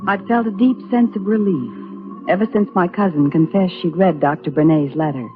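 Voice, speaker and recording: An actress playing a gentle, patient young bride-to-be, the heroine of an old radio soap opera. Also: female voice, on the low side, normal speed, music in the background, close to an everyday voice. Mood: earnest, reflective. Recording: lo-fi, faintly noisy old radio recording.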